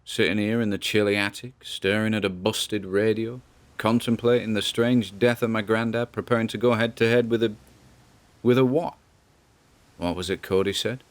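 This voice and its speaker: Actor playing nervous grandson, male, fairly deep voice, wry conversational musing, audio drama log. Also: steady tone, normal speed, natural voice. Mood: uneasy, musing.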